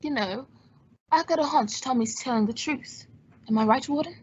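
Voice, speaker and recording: A woman playing a suave gentleman detective in an old-time radio drama, cool and dryly witty. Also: high voice, moderate pace, breathy edge. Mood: concerned. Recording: muffled audio with faint background noise.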